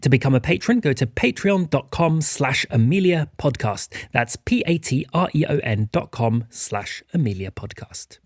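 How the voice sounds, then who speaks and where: A man's voice: mid-range voice, animated, moderate pace, few pauses, dry recording, clean studio sound. A man hosting a behind-the-scenes podcast as one of its producers, talking casually.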